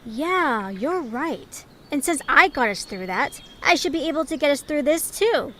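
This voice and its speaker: A woman's voice: high-pitched, very animated, measured pace, bright, forceful tone, a little hiss or hum, heavily acted. A woman playing a bossy, self-assured young female leader in an audio drama, commanding and boastful.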